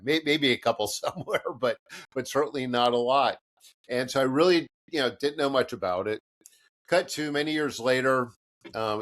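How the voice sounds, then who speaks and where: A middle-aged American man talks as a podcast co-host in a casual, wandering way. His voice sits in a middle pitch, and he often laughs at himself as he goes. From United States. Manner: rambling, self-deprecating, laughs often.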